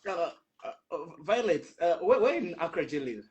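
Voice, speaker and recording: A man's voice: sits high, very animated, brisk, darkish tone, muffled recording. A man hosting a casual online chat, speaking informally with playful, teasing banter. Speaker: host of an online panel chat.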